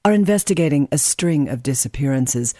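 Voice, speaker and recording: A woman's voice: low-pitched, expressive, a little fast, dark tone, dry recording, clean studio sound. A woman playing a haughty best-selling author and doctor as a guest in a radio drama. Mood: polite, self-important. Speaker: talk show guest character.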